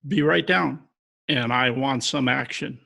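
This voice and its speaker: An actor playing a slow-witted, plaintive lovelorn man in an old-time radio detective drama. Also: mid-range voice, creaky, pushed and bright, a little hiss or hum. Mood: indignant.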